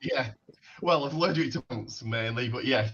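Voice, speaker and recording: A man's voice: medium pitch, normal speed, a bit bright, breathy edge. A man hosting a podcast, introducing his guest with an announcer-style build-up, then chatting casually. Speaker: podcast host. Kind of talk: hyped show intro, then casual interview chat. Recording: dull lo-fi audio.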